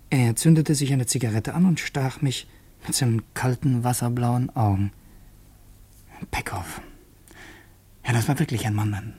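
An actor playing a young revolutionary student who narrates in the first person in a radio play, earnest and tense. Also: male voice, mid-range voice, dark tone, slightly rough, natural voice.

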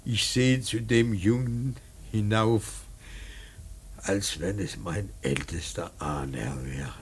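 A man playing a grieving, bitter old painter in a radio drama, speaking in a halting, emphatic, theatrical monologue with a rough, worn voice. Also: on the low side, a little hiss or hum. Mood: remorseful, wounded. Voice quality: husky, gravelly. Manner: halting, emphatic, swelling from murmurs to outcries. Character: grieving old painter mourning his dead son, bitter, rambling.

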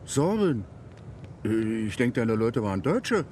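A man's voice: medium pitch, big pitch swings, slow, a little mellow. A flippant Wild West gunman in a radio play, nosy and teasing. His medium voice swings animatedly up and down, over faint outdoor noise. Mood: curious, puzzled. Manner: chatty, questioning, flippant. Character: cocky, prejudiced frontier gunman, nosy and teasing. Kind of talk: German radio drama acting.

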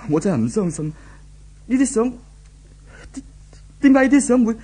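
An actor playing a brooding, distraught young office worker in a 1970s Cantonese radio drama, with a husky voice and a Hong Kong accent. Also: male voice, extremely high pitch, animated, normal speed, dark tone, exaggerated voice. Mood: shocked, panicked. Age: young adult.

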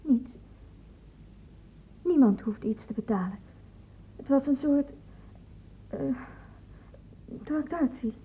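An actress in a Dutch radio play voicing a hesitant, evasive young girl who speaks haltingly and timidly. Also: high-pitched, animated, slight background noise. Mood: hesitant, uneasy. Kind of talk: Dutch radio drama acting. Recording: muffled phone-like old radio recording.

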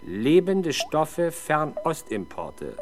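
A man's voice: a little high, wildly swooping pitch, drawn-out words, noisy background, heavily acted. A man playing a sceptical, sardonic middle-aged police interrogator in a 1970s German radio drama, dry and needling. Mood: probing.

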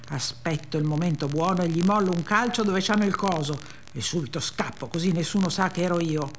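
Woman acting a blunt resentful memoir narrator on radio, deadpan, kid-like run-on sentences, bit of noise behind. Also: low voice, close to an everyday voice. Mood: sly, plaintive. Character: blunt, resentful narrator of a childhood and bohemian life. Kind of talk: dramatic reading of a first-person memoir.

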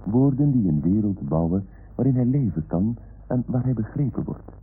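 Low-voiced man narrating, very expressive. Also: slight background noise. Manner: measured, literary. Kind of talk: poetic scripted introduction. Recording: tinny narrow-band old radio broadcast. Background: music.

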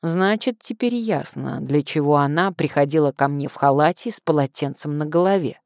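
A woman's voice: low-pitched, normal speed, a little mellow, no room sound, clean studio sound. A woman reading first-person fiction expressively as an audiobook narrator.

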